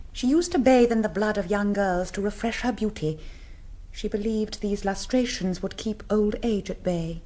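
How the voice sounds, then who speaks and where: An actress playing a melancholy aristocratic vampire countess in a radio drama, languid and mannered. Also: female voice, medium pitch, warm tone, close to an everyday voice. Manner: languid, mannered, lapsing into breathy near-whispers. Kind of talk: BBC radio drama acting.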